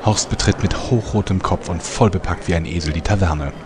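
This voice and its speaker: A man's voice: low-pitched, fairly quick, a crowd in the background. A man narrating a parody radio soap like a dramatic announcer. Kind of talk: scripted narration.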